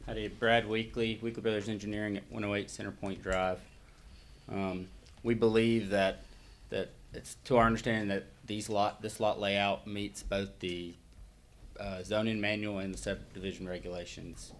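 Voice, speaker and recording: A man speaking as the applicant's engineer at a public hearing, halting and hesitant. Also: fairly low pitch, level tone, a little hiss or hum.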